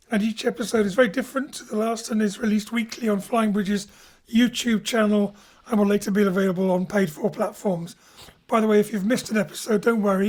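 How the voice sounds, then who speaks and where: A woman's voice: medium pitch, a little mellow. A woman hosting a casual discussion about theatre and audio drama.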